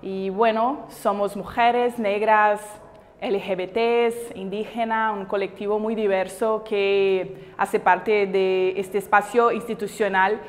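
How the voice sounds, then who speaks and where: A woman in her thirties from Brazil, a native Portuguese speaker with a Brazilian accent, giving earnest, deliberate interview answers as a city councillor. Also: mid-range voice, fairly even intonation. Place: large bright indoor hall. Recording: echoey hall, music behind.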